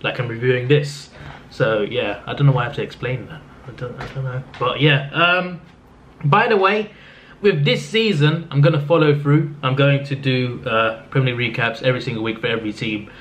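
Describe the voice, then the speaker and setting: Hoarse guy rambling a football recap. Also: mid-range voice, fairly quick. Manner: subdued, flat-sounding delivery; rambling, with frequent restarts and fillers. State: losing his voice. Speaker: football vlogger. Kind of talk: casual solo football recap. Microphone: camera mic. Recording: slightly dull lo-fi audio with faint noise.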